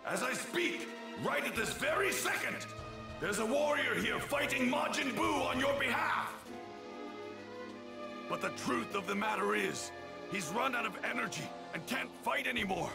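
A proud alien warrior with a gravelly, echoing voice over game music. He is commanding and impatient, swinging from low growls to high barks as in an anime game cutscene. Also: expressive, unhurried, exaggerated voice. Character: proud, gruff, imperious male alien warrior, barking orders. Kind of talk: English dub of an anime video game cutscene.

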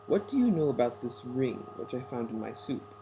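A woman's voice: very deep voice, big pitch swings, normal speed, noisy background, pitched below the performer's own voice, full character voice. A woman voicing a commanding, curious storybook king with an imperious, declaiming manner. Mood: commanding, questioning. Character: commanding, curious king of a fairy tale, lovestruck.

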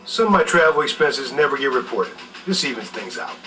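A man's voice: mid-range voice, sing-song, brisk, bright, forceful tone, soft background music. A man in his fifties acting as a brusque, self-justifying manager in a training drama. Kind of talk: acted corporate training drama. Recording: distant, re-filmed off a TV screen.

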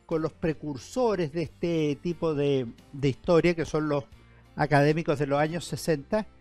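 A man's voice: on the high side, fairly even intonation, normal speed, darkish tone, faint music behind. A middle-aged man hosting a studio interview, asking long, winding, friendly questions. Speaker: historian and TV show host. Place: TV studio set. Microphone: headset mic.

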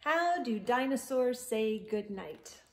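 High-voiced, slow-paced woman reading to children. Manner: animated, drawn-out emphasis, addressing young children. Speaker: children's storytime host. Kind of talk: expressive picture-book read-aloud for children. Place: indoors, small room lined with bookshelves.

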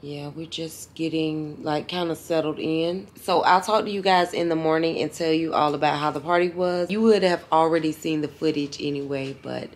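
A woman's voice: low-pitched, fairly even intonation, normal speed, hardly any pauses. An older woman, worn out and chatting casually to her camera in a hotel room. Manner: chatty, says y'all a lot. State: tired. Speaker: vlogger. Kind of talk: casual vlog chat to camera.

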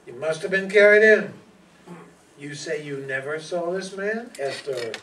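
A man's voice: on the high side, expressive, measured pace, bright, forceful tone. An older man playing a wisecracking, breezy, tough private detective in old-time radio style. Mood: brisk, businesslike. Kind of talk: 1940s radio acting, with narration. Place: meeting room stage before an audience. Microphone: stand microphone, filmed from far back. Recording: distant room mic, echoey, noisy.

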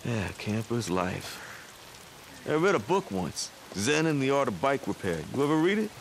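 An actor playing a chatty, evasive camp mechanic in a video game, rambling in a folksy way. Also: male voice, medium pitch, very animated, moderate pace, slight background noise. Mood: easygoing, philosophical. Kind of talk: naturalistic cinematic game acting.

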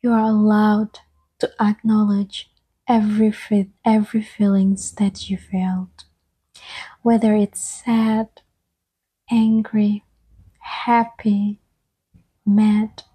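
A woman speaks softly in a slow, soothing ASMR meditation style. Her voice is mellow and breathy, often thinning toward a whisper. She leaves long, gentle pauses between short phrases. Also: medium pitch, level tone. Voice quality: soft-spoken, breathy, often slipping into whisper. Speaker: ASMR guide and host.

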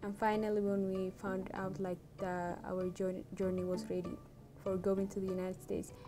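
Young woman from somalia, gentle, music loud behind. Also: medium pitch, completely flat delivery, normal speed. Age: teens. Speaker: student interviewee. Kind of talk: documentary interview.

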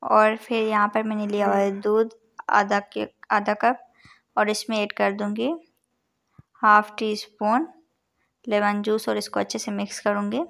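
Calm gravelly woman hosting a cooking channel. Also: mid-range voice, measured pace. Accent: Urdu-influenced Hindustani. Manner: calm, instructive, counts out measures. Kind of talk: step-by-step recipe voice-over. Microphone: voice-over over overhead cooking shots.

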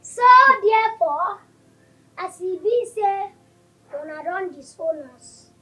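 An actress with a Nigerian accent playing a cheeky, confrontational young daughter of the house in a comedy film. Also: female voice, very high voice, slow, faint music behind, low-quality recording. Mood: defiant.

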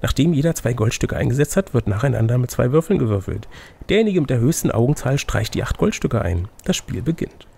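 A man's voice: mid-range voice, animated, fairly quick, gravelly, a little mellow, slightly rough. A man acting as game master, reading an adventure gamebook aloud like a storybook, with playful, chatty asides. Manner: playful, chatty asides between read passages. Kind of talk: storybook narration mixed with casual banter.

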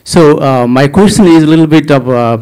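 A man's voice: fairly high pitch, fairly quick, a little mellow. Hesitant man with a Nepali accent. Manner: hesitant, polite. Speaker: audience member asking a question.

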